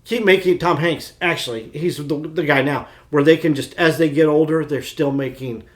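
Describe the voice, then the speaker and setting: A man's voice: a little high, normal speed. A man chatting casually as a podcast co-host. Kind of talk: casual chat.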